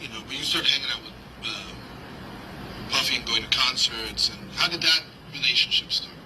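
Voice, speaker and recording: Heavy background noise, man, medium pitch, informal questioning, casual halting phrasing, interviewer. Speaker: detective interviewing. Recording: very noisy covert-sounding interview recording.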